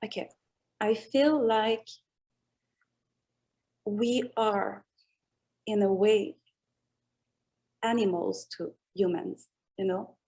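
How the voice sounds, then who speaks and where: A woman with a French accent answers interview questions in English, her second language. She speaks very slowly and reflectively. She pauses as she searches for the right words. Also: mid-range voice, warm tone. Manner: slow, thoughtful, searching for English words, laughs often. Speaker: music artist guest.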